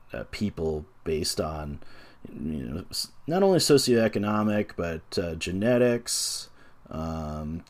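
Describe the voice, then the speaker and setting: A man around forty, a tabletop game designer, talking in a casual interview in a reflective, hesitant way with many pauses and fillers. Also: sits low. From North America.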